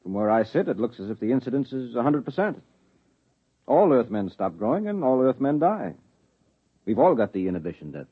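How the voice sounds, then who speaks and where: A man's voice: mid-range voice, sing-song, fairly quick, slightly rough. A man playing a curious, wry male government official in a 1950s radio drama, asking probing questions. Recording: thin tinny 1950s radio broadcast.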